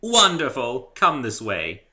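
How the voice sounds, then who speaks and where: Guy doing a posh english girly unicorn, swoopy dramatic delivery, bright sharp voice, fussy fashion diva. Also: medium pitch, huge pitch swings, takes it slowly, pitched above the performer's own voice, heavily acted. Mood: delighted. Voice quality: elegant, refined. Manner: dramatic, effusive, addresses people as darling. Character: elegant, theatrical female unicorn fashionista, fussy and gushing.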